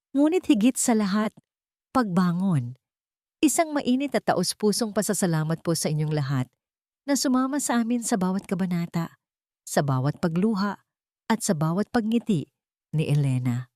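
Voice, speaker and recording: Woman storyteller, pretty low voice, earnest n sentimental, really dry close sound. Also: a little mellow, dry recording. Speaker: story narrator. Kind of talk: scripted dramatic storytelling narration.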